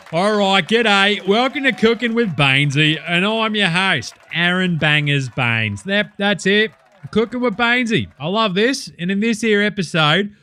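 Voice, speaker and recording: A man's voice: a little high, bright, forceful tone. A man playing a brash, crude male TV cooking host with an Australian accent, full of slang and swearing as he talks to a studio audience. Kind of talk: comic sketch, cooking show parody.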